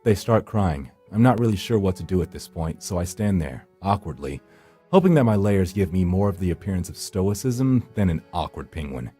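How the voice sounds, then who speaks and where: A man with a low, dark voice narrates a visual novel. He reads the script in a brooding, theatrical way over faint music. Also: sing-song, moderate pace, breathy edge. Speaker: gameplay channel host reading aloud.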